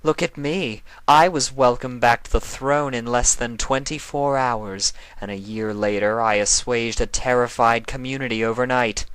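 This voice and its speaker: A man's voice: mid-range voice, steady tone, moderate pace, hardly any pauses, fairly bright tone, smooth, no room sound, pitched above the performer's own voice, close to an everyday voice. A man voicing a proud, haughty alicorn queen villainess with an imperious, theatrical delivery in a fan fiction reading. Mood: boastful, scornful.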